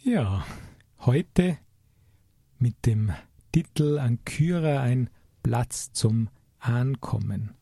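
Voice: medium pitch, lively intonation, drawn-out words, creaky, long pauses, dark tone, slightly rough, no echo. A radio show host asking a reflective question and rephrasing it as they go. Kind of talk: hosting a radio talk.

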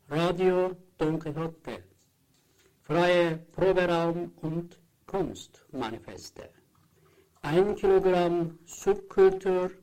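A speaker chanting short slogans over and over in a monotone. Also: mid-range voice, poor audio. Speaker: chanting voice in a radio art piece.

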